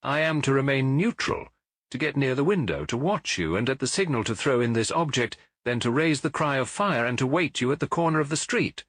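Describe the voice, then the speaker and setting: Man reading as loyal, attentive English gentleman companion. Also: medium pitch, expressive, fairly quick, no echo. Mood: obedient, attentive. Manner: attentive, brief, questioning. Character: loyal, obliging Victorian gentleman, the detective's companion. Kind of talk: audiobook character acting.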